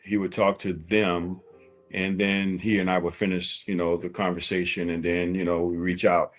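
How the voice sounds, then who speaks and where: A man's voice: low-pitched, level tone, normal speed. A man in his forties speaking as an interview guest, candidly telling a personal story in a conversational way and repeating phrases for emphasis.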